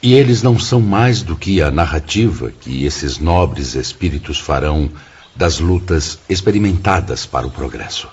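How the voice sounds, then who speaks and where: An actor playing a fatherly male spirit mentor, grave and instructive, with a raspy voice and Brazilian accent in a radio drama. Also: extremely low pitch, animated, moderate pace, lo-fi sound, slight background noise. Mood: grave teaching. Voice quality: husky, raspy.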